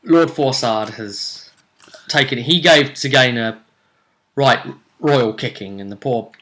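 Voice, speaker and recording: Bright-voiced man, chatty wry host. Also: mid-range voice, a little slow. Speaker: host of a tabletop role-play series. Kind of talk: casual chat and tournament recap.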